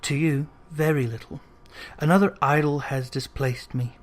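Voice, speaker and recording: A narrator voicing a gentle, dignified young woman who speaks with earnest sorrow and resolve in an old storybook drama. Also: mid-range voice, fairly even intonation, moderate pace, close to an everyday voice. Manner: earnest, soft-spoken, dignified.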